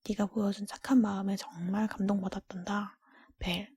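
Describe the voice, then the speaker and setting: A woman voicing a benevolent noble lady from a fairy-tale dream, reassuring and gracious in a breathy whisper. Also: mid-range voice, level tone, normal speed, dark tone, dry recording.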